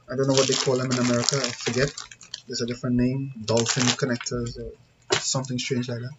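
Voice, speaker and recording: A man with a Trinidadian accent giving an informal hands-on tutorial, talking through each step in a plain-spoken, conversational way and checking in with viewers. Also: mid-range voice. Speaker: CCTV installer giving a tutorial. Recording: dull lo-fi sound with background noise.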